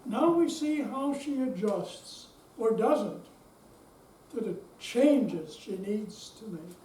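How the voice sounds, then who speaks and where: A woman's voice: on the high side, animated, takes it slowly, warm tone. A woman narrating a scripted readers theater piece as she introduces the characters. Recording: echoey room, distant mic, faint noise.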